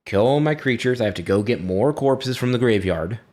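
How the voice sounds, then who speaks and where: A man's voice: medium pitch, expressive, fairly quick, a little mellow, pitched above the performer's own voice, natural voice. A man voicing an irritated undead male dwarf who guards his crypt home, exasperated and casual, in a tabletop game. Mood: aggrieved.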